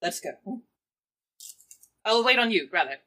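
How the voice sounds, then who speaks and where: A woman's voice: fairly high pitch, big pitch swings, a little slow, pushed and bright. A woman playing a tabletop role-playing game, casually narrating and speaking for her character. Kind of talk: casual improvised role-play.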